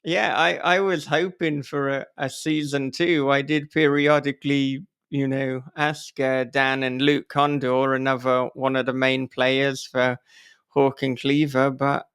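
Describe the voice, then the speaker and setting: A man's voice: medium pitch, flat delivery, a little slow, fairly bright tone, smooth. A man hosting a podcast, interviewing in a casual, chatty and slightly wry way.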